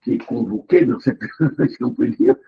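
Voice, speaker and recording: A man's voice: a little high, huge pitch swings, normal speed, creaky, dull audio, slight background noise. A man speaking as a jury member at a thesis defense, making emphatic points with rhetorical questions over a remote call. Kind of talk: academic remarks at a thesis defense.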